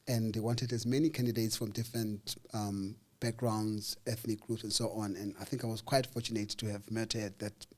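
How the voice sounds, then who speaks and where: A man with a South African accent telling a personal story in a casual interview, acting out the people in it and pausing on fillers. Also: on the low side. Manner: animated, acts out dialogue with voices, hesitant fillers. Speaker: interview guest.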